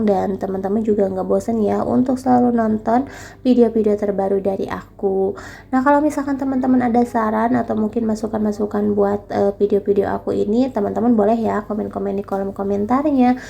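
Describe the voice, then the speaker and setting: A woman's voice: mid-range voice, gravelly, mellow, muffled recording, a little hiss or hum. Chatty young woman with a stuffy nose. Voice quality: nasal. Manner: chatty, addresses viewers often as friends. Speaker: vlogger selling meatballs from home. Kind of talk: casual voice-over vlog. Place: home, recorded at night after the day's filming. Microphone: voice-over dubbed separately.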